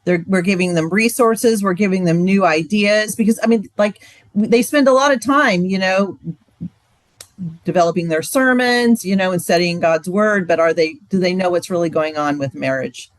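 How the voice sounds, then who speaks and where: A woman's voice: fairly low pitch, normal speed, no room sound. A conversational woman co-presenting, recorded dry. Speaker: co-presenter in marriage ministry.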